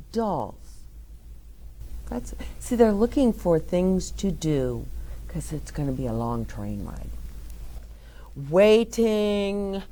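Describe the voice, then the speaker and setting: A woman reading a picture book aloud to children, gently stopping to explain words and ask the listener questions. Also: low-pitched. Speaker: children's storyteller.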